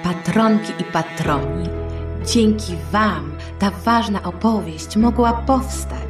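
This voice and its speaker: A woman host narrating a history story for children in a colloquial, expressive way. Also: mid-range voice, slow. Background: background music.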